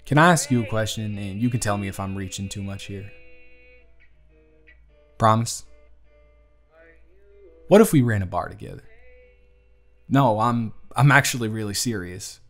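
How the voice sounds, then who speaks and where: A man's voice: lowish voice, natural voice. A man with a Southern US accent playing an earnest, charming young bartender in love in an intimate audio roleplay. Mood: friendly, tentative, then eager, persuasive. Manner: earnest, conversational, sweet-talking. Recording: music playing under the voice. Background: acoustic music.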